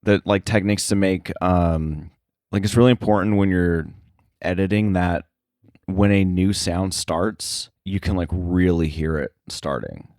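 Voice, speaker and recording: Deep male voice, casual interview guest, halting rephrased sentences, very dry close sound. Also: darkish tone, no room sound. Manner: halting, filler-heavy, circling back to rephrase. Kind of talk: casual shop talk.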